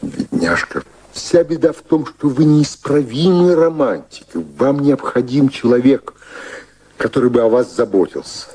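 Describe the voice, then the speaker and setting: An actor playing a devoted, proper older gentleman in a radio play, with a husky voice and a courtly, halting manner, earnestly repeating himself. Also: mid-range voice, big pitch swings, a little hiss or hum. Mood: tender, forgiving.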